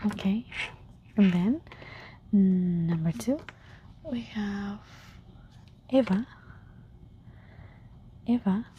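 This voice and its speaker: Softly whispering woman teacher. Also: medium pitch, lo-fi sound, a little hiss or hum. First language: Spanish. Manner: soft whisper, repeats each word slowly. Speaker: language teacher. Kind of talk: whispered ASMR language lesson.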